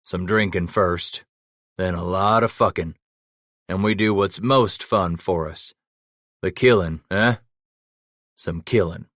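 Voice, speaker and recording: A man's voice: deep voice, slow pace, long pauses, a bit bright, no echo, clean studio sound. A man voicing a crude, coarse frontiersman who talks in swaggering, drawling slang. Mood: menacing.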